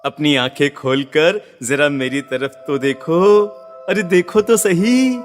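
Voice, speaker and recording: A man voicing a creepy, possessed village husband in a horror story, wheedling and amorous, with halting words and questioning hums. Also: on the high side. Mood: amorous, coaxing. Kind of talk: horror audio story acting. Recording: long reverb with music behind.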